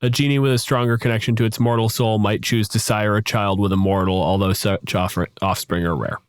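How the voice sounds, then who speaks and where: Lowish-voiced man explaining casually, dry recording. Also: steady tone, a little fast, clear, resonant tone, dry recording.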